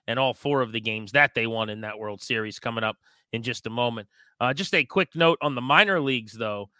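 Bright, clear male sports co-host. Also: medium pitch, no room sound. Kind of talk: conversational baseball commentary.

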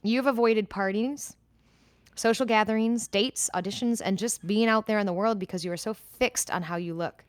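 A woman's voice: mid-range voice, normal speed, no echo. Candid woman podcast co-host, dry sound. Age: 30s. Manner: candid, talks in starts and restarts. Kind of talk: casual chat and reading a letter aloud.

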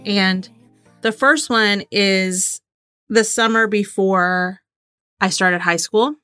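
Bright-voiced woman chatting as podcast co-host. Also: medium pitch, slow pace, clear, resonant tone, no echo, studio quality, faint music behind. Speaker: podcast co-host and trivia game player. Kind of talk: casual chat.

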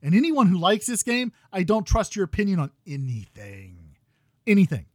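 A man in his thirties hosting a gaming podcast, ranting loudly with exasperation while losing his voice. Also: high-pitched, wildly swooping pitch, normal speed, no echo, clean studio sound. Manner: loud exasperated ranting, swings to quiet muttering. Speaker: podcast host.

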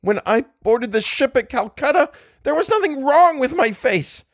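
A man voicing a tormented, disfigured archaeologist whose voice turns shrill and frantic. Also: expressive, moderate pace, full character voice. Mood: hysterical. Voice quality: shrill, rising to shrieks. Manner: frantic, anguished pleading.

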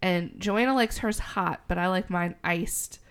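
Woman, podcast co-host, deep fried sinus-infection voice, loose and laughing. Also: a little slow, a bit bright. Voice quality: gravelly. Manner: laughs often, swears casually. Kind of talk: casual chat.

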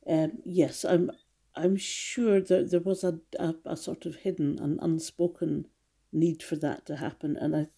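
An older woman, gently reminiscing in conversation and often restarting her sentences. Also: deep voice, flat delivery, a little slow, creaky. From Scotland. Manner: gentle, reflective, with frequent restarts. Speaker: interviewee, mother.

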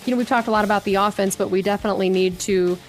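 A young woman hosting a radio sports show, leading segments and asking questions in an upbeat, steady way. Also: medium pitch, rapid-fire, soft background music. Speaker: team reporter and show host.

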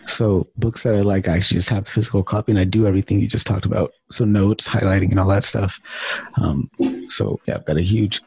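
A man with a gravelly, husky voice hosting open office hours in a loose, informal group chat. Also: on the low side, fairly even intonation, fast talker, a little mellow. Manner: informal, loose, mild swearing.

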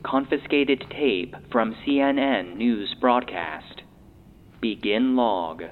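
An actor playing a formal, impersonal database announcer reading log headings in an audio drama. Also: male voice, medium pitch, slow pace, natural voice. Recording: tinny phone-like band with hiss.

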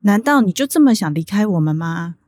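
An actress playing an anxious, doting middle-aged mother with a Taiwanese accent, fretful and expressive in a radio drama. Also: mid-range voice, fairly quick, no room sound, clean studio sound, close to an everyday voice. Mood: worried, nagging.

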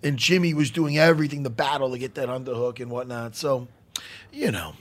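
A man with a hoarse, husky voice hosts a loose podcast chat about fights. He rambles and swears freely. He often pokes fun at himself. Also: medium pitch, fairly quick. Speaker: podcast host.

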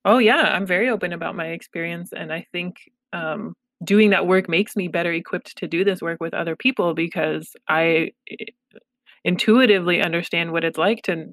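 A woman therapist speaks openly in a relaxed interview, reflective and quick to laugh at herself. Her voice sits a touch low. The sound is close and dry, with no room around it. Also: no echo. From Los Angeles, United States. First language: Pashto. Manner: candid, reflective, self-deprecating humor. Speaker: therapist, guest. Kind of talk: casual conversational interview.

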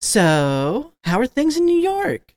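A man voicing a worried, gentle wolf mother in a raised, soothing voice. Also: very high-pitched, wildly swooping pitch, slow pace, no room sound, clean studio sound, voice pushed up, heavily acted. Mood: placating.

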